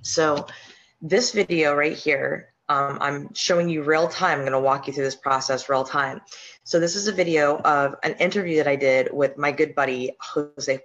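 Hoarse woman chatting through a screen-share. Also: low voice, fairly even intonation, normal speed, a bit bright, lo-fi sound. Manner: chatty, with frequent ums and restarts. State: hoarse, slight sore throat. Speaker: podcast host and online business coach. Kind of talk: casual live-stream screen-share walkthrough.

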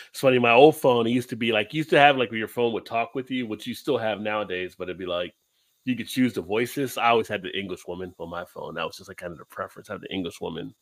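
A man in casual chat, laughing often and telling stories with animated energy. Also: on the low side, quick pace, dry recording. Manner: laughs often, lively storytelling.

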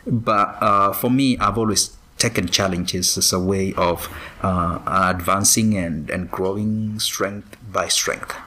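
A man from Kenya, a former professional runner, answering interview questions in a measured way with frequent pauses. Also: low-pitched, steady tone, bright, forceful tone. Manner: measured, many pauses and ums.

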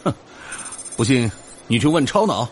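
An actor playing a half-drunk, skeptical male engineer in a sci-fi audio drama, scoffing with drawn-out exclamations. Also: mid-range voice, sing-song, a little hiss or hum, natural voice.

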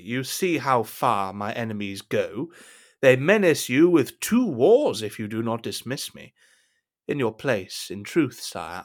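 An actor playing a scheming, falsely humble cardinal in an audio drama, with a theatrical, unctuous manner. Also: male voice, medium pitch.

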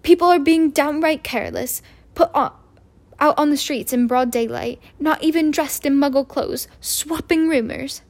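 Woman doing a strict snappy witch teacher, high and loud, impatient. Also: moderate pace. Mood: irritable. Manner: clipped, sharp, impatient.

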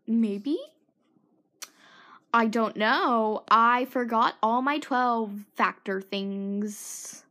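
A high, bright teenage girl chatting alone into her podcast mic. She rambles and mocks herself, often trailing off into whispers. Her throat is sore and her voice sounds tired. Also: very slow. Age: teens. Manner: self-mocking, scattered, drifts into whispered asides. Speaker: podcast host. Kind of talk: rambling solo podcast chat.

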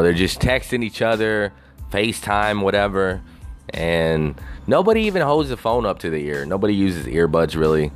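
A man on a casual, rambling rant, swearing constantly and leaning on "you know" fillers. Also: deep voice.

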